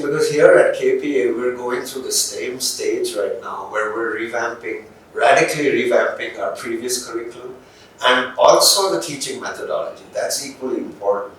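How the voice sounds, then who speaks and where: A man conducting an interview, asking questions and commenting in measured, articulate phrases. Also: mid-range voice, a bit bright. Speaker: interviewer, police academy staff. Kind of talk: interview questions and conversational remarks. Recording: noisy echoey room, distant microphone.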